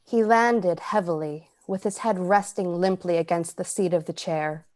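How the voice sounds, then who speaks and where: A woman playing a cold, predatory poisoner who narrates an old-time radio drama in a measured, dramatic way. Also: on the low side, darkish tone, lightly acted. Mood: intent, detached. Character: cold, predatory, calculating poisoner telling the tale.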